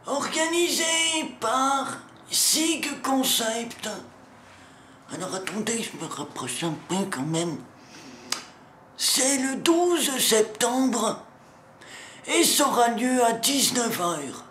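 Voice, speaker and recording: A man's voice: high voice, fairly bright tone, echoey room, a little hiss or hum, exaggerated voice. A man playing a grumpy, foul-mouthed old riddle-keeper from a lighthouse, grumbling and scolding the viewer through a sore throat. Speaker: channel host announcing meetups. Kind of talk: comic character sketch.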